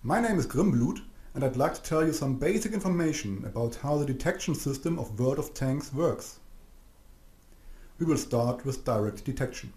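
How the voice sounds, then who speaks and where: A man's voice: medium pitch, animated, darkish tone. Man narrating a tutorial, roomy echo. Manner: measured, didactic, addresses the listener directly. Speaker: narrator of an instructional game video. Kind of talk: scripted explanatory narration. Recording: roomy, echoing voice-over.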